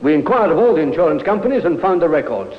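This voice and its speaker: An actor playing a dutiful, matter-of-fact police sergeant, clipped and respectful, in old radio drama style. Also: male voice, fairly high pitch, sing-song, fast. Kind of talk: 1950s radio drama acting. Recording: echoey, muffled old radio recording.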